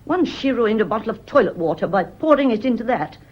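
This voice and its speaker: An actress playing a spirited housekeeper in a radio drama, deferential on the surface but defensive. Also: female voice, a little high, lively intonation, a little fast. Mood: flustered, defensive. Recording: dull, muffled old radio recording.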